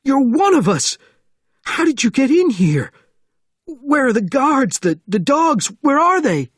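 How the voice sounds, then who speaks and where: A man's voice: very high-pitched, very animated, a little slow, dry recording, clean studio sound, voice pushed up, full character voice. Man acting a shrieking, panicked huge sergeant. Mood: screaming panic. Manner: shouting, panicked exclamations. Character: huge panicking male sergeant, terrified and roaring.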